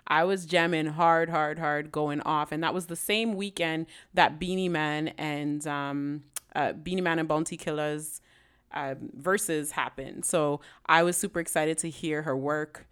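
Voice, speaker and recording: A woman with a notably low voice hosts a podcast interview. She speaks in a laid-back, slangy, conversational way. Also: fairly even intonation, unhurried, fairly bright tone, clear, resonant tone. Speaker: podcast host.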